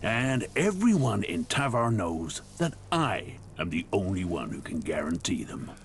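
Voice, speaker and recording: A man's voice: sits low, big pitch swings, measured pace, slight background noise, heavily acted. A man playing a ruthless gang boss who rules a wasteland town, menacing, boastful and blunt, in a video game. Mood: boastful, scornful.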